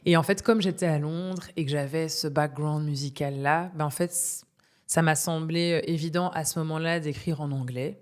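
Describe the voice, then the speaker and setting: A young woman from Belgium with a low, full voice talks through her thoughts in an interview. She hesitates often, restarting sentences and filling gaps with small sounds. The talk is relaxed and unscripted. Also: normal speed. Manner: hesitant, many fillers and restarts, laughs often. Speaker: singer, interview guest. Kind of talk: casual interview conversation.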